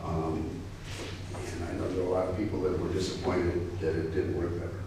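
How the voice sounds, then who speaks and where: A man's voice: deep voice, little pitch movement, brisk. Middle-aged American male consultant, thoughtful and candid, far from the mic, faint room noise. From United States. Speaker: political consultant. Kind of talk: panel discussion answering questions. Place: university lecture hall. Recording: echoey lecture hall from far off.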